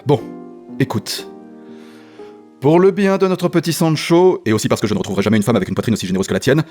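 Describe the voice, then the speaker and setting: A man's voice: mid-range voice, quick pace, darkish tone, soft background music, lightly acted. An actor playing a wounded, sentimental husband and doting father, plaintive and theatrical, in a comedy audio drama. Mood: earnest.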